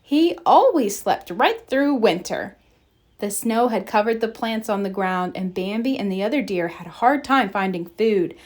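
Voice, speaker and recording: A woman reading a children's story aloud in an expressive, deliberate storybook narration style. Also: mid-range voice. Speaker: storybook reader.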